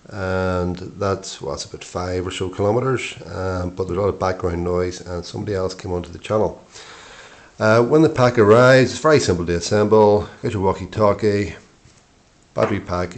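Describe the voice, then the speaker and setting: A middle-aged man giving an informal product review in short, matter-of-fact phrases with hesitant pauses. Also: deep voice.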